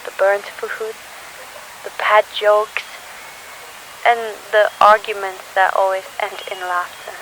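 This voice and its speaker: A woman playing a weary, sorrowful teenager hiding in post-apocalyptic ruins, speaking a halting, solemn audio diary. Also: mid-range voice, level tone, fairly bright tone, rough recording. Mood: quietly defiant. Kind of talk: scripted audio diary monologue. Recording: hissy recording with faint noise.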